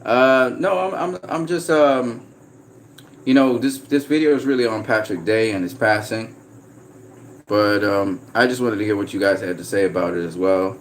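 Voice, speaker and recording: A man from Trinidad chatting casually on a livestream, reading out viewer comments in a relaxed way. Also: fairly low pitch, normal speed, a little hiss or hum. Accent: slight American-sounding Trinidadian. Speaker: vlogger.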